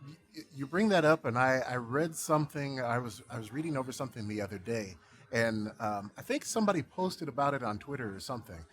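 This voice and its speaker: A man's voice: medium pitch, animated, fast talker, fairly bright tone. A man hosting a podcast in casual conversation, reflective and supportive toward his guest. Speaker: podcast host.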